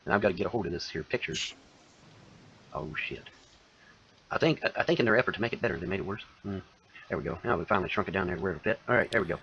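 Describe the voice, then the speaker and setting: A man's voice: low voice, expressive, very fast, long pauses, fairly bright tone, slight background noise. A man giving a rambling, folksy commentary to his viewers, self-assured and calling them folks. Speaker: commentator in a played video clip. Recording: lo-fi dull sound.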